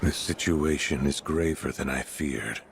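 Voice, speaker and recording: An actor playing a brooding, cryptic keeper of a powerful card in a video game, speaking in a formal, measured, ominous way. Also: male voice, rumbling low, fairly even intonation, creaky, noisy background, full character voice. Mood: grave, cryptic. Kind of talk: video game cutscene acting.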